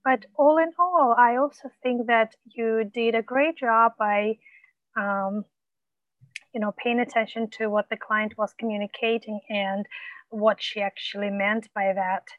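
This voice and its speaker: A woman coach mentor, whose first language is not English, giving supportive, measured feedback with frequent pauses and ums. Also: on the high side, steady tone, no echo, narrow, tinny audio.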